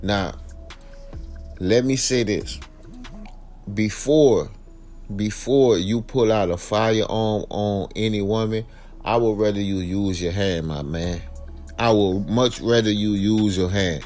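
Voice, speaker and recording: A low-pitched American man narrates true crime in an informal, opinionated way. He addresses viewers directly in colloquial speech and leans on repeated phrases for emphasis. Music plays behind his voice. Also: takes it slowly, darkish tone. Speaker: true crime commentary host. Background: background music.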